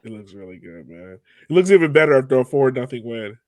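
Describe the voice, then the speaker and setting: A man's voice: mid-range voice, big pitch swings, quick pace, dry recording. A man co-hosting a soccer fan podcast, talking casually and informally about games in an upbeat way. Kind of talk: casual game-analysis chat.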